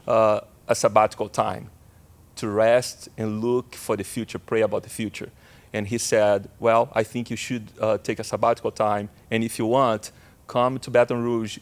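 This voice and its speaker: Brazilian-accented man, easygoing pastor. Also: medium pitch. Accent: Brazilian Portuguese. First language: Portuguese. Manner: easygoing, laughs, halting phrasing. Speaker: missions pastor. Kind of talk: casual podcast chat.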